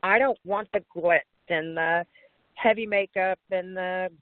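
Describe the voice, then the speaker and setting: A woman's voice: lowish voice, steady tone, measured pace, no echo. A woman in her fifties, a pageant titleholder chatting as an interview guest in a folksy, talkative way, often laughing. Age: 50s. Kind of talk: casual interview chat. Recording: tinny phone line, dry.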